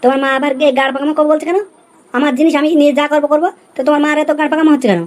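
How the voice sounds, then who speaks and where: Man playing scheming cartoon husband, very quick, high-pitched, brash, bossy. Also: flat delivery. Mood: bossy, irritated. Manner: brash, colloquial. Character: scheming young husband, bossy, foul-mouthed village schemer. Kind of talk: amateur comedy cartoon dubbing.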